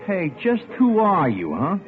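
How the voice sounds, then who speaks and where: A man's voice: high voice, huge pitch swings, measured pace, full character voice. An actor playing a curious, wry bartender who drawls his lines slyly. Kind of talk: 1940s radio acting. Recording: tinny old radio broadcast with music.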